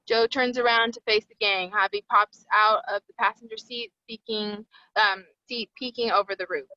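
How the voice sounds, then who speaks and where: A woman's voice: mid-range voice, steady tone, normal speed, bright, forceful tone, no room sound, muffled recording. A woman reading screenplay stage directions as the narrator at a table read.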